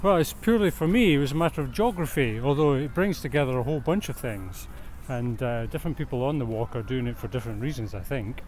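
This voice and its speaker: A man's voice: mid-range voice, animated, fast talker, hardly any pauses, a little mellow. A middle-aged man with a Scottish accent, talking conversationally as an interview guest while out walking. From Scotland. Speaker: interview guest, walk organiser. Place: outdoors in a city park. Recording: outdoor recording with urban traffic noise.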